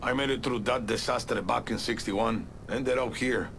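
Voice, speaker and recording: An actor playing a bitter, hard-bitten Cuban exile informant in a video game, gravelly and scornful, with a Cuban accent. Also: male voice, medium pitch, steady tone, normal speed, a bit bright, a little hiss or hum. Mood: bitter, wary. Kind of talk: video game cutscene acting.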